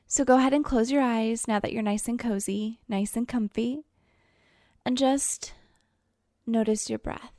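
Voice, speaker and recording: Soft, creaky-voiced woman guiding a meditation. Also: a little high, fairly even intonation, no echo, clean studio sound. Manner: soothing, gentle, unhurried with pauses. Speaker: guided meditation host. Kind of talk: guided imagery meditation narration. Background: soft background music.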